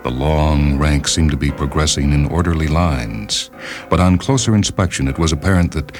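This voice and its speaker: A man reading a literary short story as a radio host, in a measured, deliberate and formal manner, on a 1970s radio recording. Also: extremely low pitch. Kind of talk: scripted literary narration. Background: music bed behind the reading.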